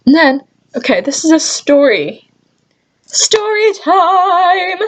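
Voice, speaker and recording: A young adult woman telling casual, chatty stories in an animated, exasperated way, with sarcastic asides and mild swearing. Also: extremely high pitch, slow, low-quality recording. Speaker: storytelling vlogger. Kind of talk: casual anecdote vlog, chatty.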